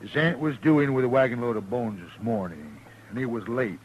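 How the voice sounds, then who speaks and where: An actor playing a grumbling, insistent frontier hide dealer in a radio western, with a folksy rural American accent. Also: male voice, mid-range voice, moderate pace, close to an everyday voice. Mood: accusing. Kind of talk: 1950s radio western acting. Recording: lightly noisy old radio recording.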